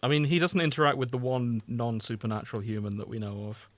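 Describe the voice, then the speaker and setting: A man's voice: medium pitch, quick pace, dry recording. A man co-hosting a podcast, chatting casually about a TV series in an informal, sweary, wry way. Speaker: podcast co-host.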